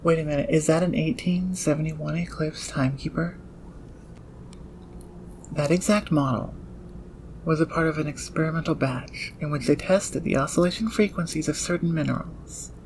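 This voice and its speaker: A woman's voice: low-pitched, normal speed, a little mellow. Eager, teasing female thief tinkerer played by a woman, gem-obsessed, slightly crackly voice, ASMR roleplay, some background noise. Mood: excited, absorbed. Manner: doting, murmuring to gadgets and gemstones. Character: eager, teasing female thief inventor who adores gems and machines. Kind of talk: ASMR audio roleplay acting.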